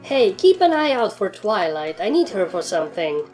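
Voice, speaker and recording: A woman's voice: mid-range voice, sing-song, normal speed, a little mellow, natural voice. A woman playing a precocious female pony foal with a grown-up mind, nonchalant and argumentative, in a storybook reading. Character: precocious, self-assured filly with adult mind. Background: music.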